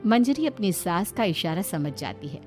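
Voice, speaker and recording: Animated woman narrating a story. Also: lowish voice, moderate pace, faint music behind.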